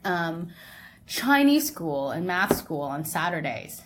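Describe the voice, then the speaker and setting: A woman's voice: fairly low pitch, very animated, slow, background noise. A young woman, co-hosting a podcast in casual, conversational chat, often checking in with the listener. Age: young adult. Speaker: podcast co-host.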